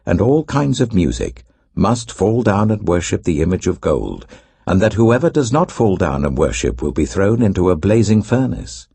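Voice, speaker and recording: A man's voice: on the low side, gravelly, warm tone, husky, no room sound, voice pushed up, exaggerated voice. A British man voicing a deferential court astrologer who formally and insistently accuses others, in a scripture audiobook.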